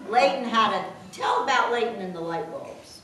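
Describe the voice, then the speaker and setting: An older woman reading rhymed verse aloud in a measured, deliberate way, with chatty asides. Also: a little high, expressive, over a noisy crowd. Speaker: daughter reading her own birthday poem. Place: echoing room at a family gathering. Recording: very echoey room, distant mic, faint noise.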